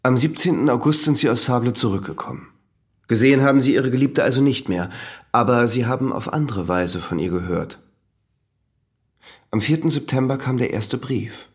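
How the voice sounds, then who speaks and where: Actor as polite, persistent young magistrate. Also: male voice, medium pitch, expressive, lightly acted. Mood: polite, probing. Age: young adult. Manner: polite, measured, probing. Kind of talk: audio drama acting, interrogation.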